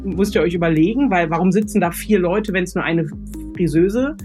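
A woman's voice: on the low side, normal speed, a little mellow, soft background music. A woman speaking unscripted as a guest author, explaining her advice step by step as she thinks aloud. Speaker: guest expert, radio drama author. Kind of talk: unscripted expert advice in conversation.

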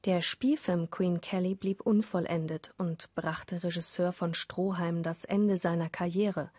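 A woman with a soft, slightly husky voice, telling a scripted radio story in a measured way. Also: on the low side, steady tone, no echo. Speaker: narrator. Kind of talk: scripted radio play narration in German. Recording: dry studio with music underneath. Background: music.

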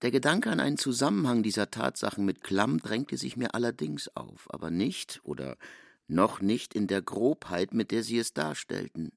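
A man playing a composed, wry newcomer in a literary radio reading, speaking in a measured, formal way. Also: mid-range voice, big pitch swings, vocal fry, dry recording, studio quality. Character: wry, composed male newcomer, narrated in third person. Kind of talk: literary narration and dialogue reading.

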